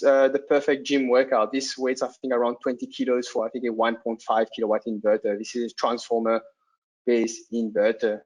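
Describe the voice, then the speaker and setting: Guy with an accent running a webinar for installers, chatty, keeps saying "right?", mid-pitched voice. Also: poor audio. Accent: non-native English. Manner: conversational, tags sentences with "right?", frequent restarts. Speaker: company webinar presenter. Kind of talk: presenting a product webinar to installers.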